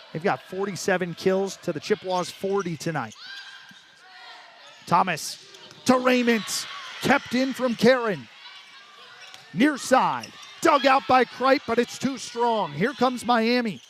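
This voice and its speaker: Guy doing play-by-play sports commentary, pretty high voice, some arena noise behind him. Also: animated, slow, pauses often, fairly bright tone. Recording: noisy sports venue.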